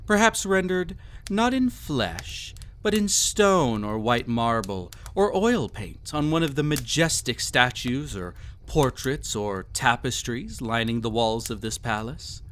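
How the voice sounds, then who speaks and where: A man's voice: mid-range voice, big pitch swings, a bit bright. A man playing a cruel, arrogant emperor in a scripted audio roleplay, speaking in a formal, mocking, condescending way. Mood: haughty pride. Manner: haughty, formal, mocking, deliberate theatrical phrasing. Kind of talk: scripted audio roleplay, villain monologue addressed to listener.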